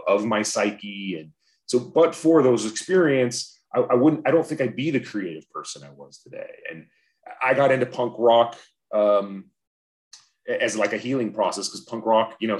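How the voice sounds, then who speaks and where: A man in his forties with a fairly low voice, talking in a reflective, earnest way as an interview guest. He is from Southern California, and he often stops and restarts his sentences, repeating words as he gathers his thoughts. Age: 40s. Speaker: podcast guest. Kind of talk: casual interview conversation.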